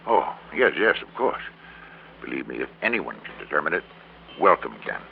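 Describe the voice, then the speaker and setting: Grandiose, formal businessman, about sixty, vintage radio drama. Deep, gravelly delivery, tinny old recording. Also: big pitch swings, moderate pace, full character voice. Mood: concerned. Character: slight, gray-haired, self-important businessman of about sixty. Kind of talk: classic American radio drama acting.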